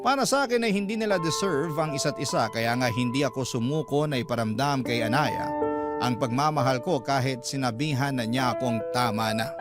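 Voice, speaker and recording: A man with a Filipino accent reading a listener's story as a radio host, in sentimental, measured, dramatic narration. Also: medium pitch. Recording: loud music under the voice. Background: loud background music.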